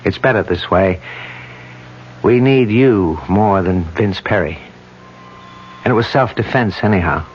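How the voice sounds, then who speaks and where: Brief: actor as a weary cop and husband, low-key, old radio sound. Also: male voice, a little slow, long pauses, a little hiss or hum, lightly acted. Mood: resigned. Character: weary male police detective, quietly loyal husband. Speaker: police detective. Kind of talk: 1970s radio drama acting. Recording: dull lo-fi 1970s radio recording.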